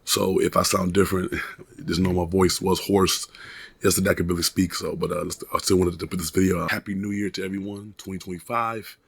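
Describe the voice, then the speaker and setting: A man in his thirties, hoarse from a cold, casually reviewing a microphone in a rambling way, with many restarts and fillers, recorded on a desk USB mic in a small room at home. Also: sits low, level tone, brisk, no room sound. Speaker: product reviewer. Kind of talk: casual product review vlog. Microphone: desk condenser USB mic.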